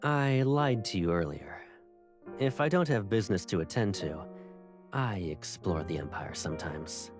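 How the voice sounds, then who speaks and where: Game role: gentle young man with a teasing streak, low register, music clearly underneath. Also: lively intonation, a little slow. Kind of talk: video game dialogue acting. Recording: music behind the voice. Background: background music.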